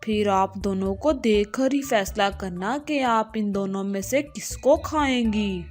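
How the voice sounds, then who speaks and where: A woman's voice: mid-range voice, measured pace, faint music behind, natural voice. A woman voicing a sly, persuasive male pigeon in a children's cartoon. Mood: sly, persuading.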